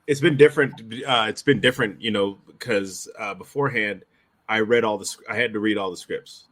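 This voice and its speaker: A man chatting casually as a show's director, in a halting way that circles back over phrases. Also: on the low side, fairly bright tone. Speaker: director, show regular. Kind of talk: casual chat.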